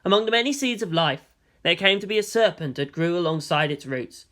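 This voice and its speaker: A narrator reading a dramatic scripted story in a solemn, portentous manner with deliberate phrasing. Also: mid-range voice, animated, fairly bright tone. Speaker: fan fiction narrator.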